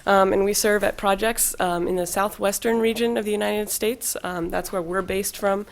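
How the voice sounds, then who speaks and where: Young woman presenting, medium pitch. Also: steady tone, normal speed, gravelly. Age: young adult. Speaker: volunteer team leader. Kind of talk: informal public presentation. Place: public council meeting room.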